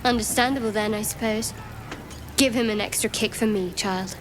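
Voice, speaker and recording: A woman's voice: mid-range voice, normal speed, over music, lightly acted. A woman playing a sardonic adventurer in a video game, dry and disdainful. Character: sardonic, haughty female adventurer. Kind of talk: video game character acting.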